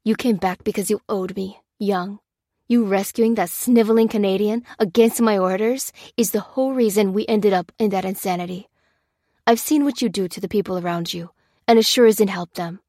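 A woman's voice: mid-range voice, normal speed, mellow, dry recording, clean studio sound, close to an everyday voice. Woman voicing a furious growling male soldier. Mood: shouting accusations. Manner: growling, ranting, hurling blame. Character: bitter hardened male soldier, furious and accusing. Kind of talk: audiobook character reading.

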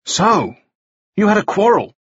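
A man voicing a boisterous, jovial, prying gold-rush fellow in an audiobook, loud and emphatic. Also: high-pitched, big pitch swings, unhurried, slightly rough, no room sound, lo-fi sound, pitched above the performer's own voice, heavily acted. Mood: prying, coaxing. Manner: loud, emphatic, hearty exhortation.

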